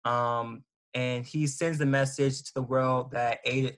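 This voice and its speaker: A man's voice: medium pitch, fairly even intonation, slow, a bit bright. Hesitant man reading a guest introduction. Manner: reads a guest bio with frequent ums and restarts. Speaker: host. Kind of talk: casual interview introduction.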